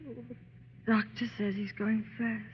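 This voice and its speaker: An actress playing a distraught young mother in an old radio drama, speaking in a tremulous, halting, emotional way. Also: mid-range voice, level tone, a little hiss or hum, close to an everyday voice. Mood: despairing. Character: anguished young mother fearing for her dying baby. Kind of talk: 1930s-40s radio drama acting. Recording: muffled old radio recording, music behind.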